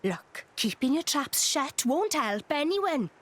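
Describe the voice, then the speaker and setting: A woman playing a blunt, short-tempered young noble adventurer with a husky voice in a video game cutscene. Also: high voice, sing-song, takes it slowly, a bit bright, exaggerated voice. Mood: impatient.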